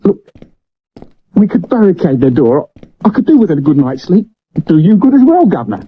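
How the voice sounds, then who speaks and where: A man's voice: high-pitched, big pitch swings, normal speed, breathy edge, exaggerated voice. A man acting a nervous, loyal Victorian theatre dresser in a 1970s radio drama, anxious and pleading, with an English accent. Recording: dull lo-fi vintage radio recording.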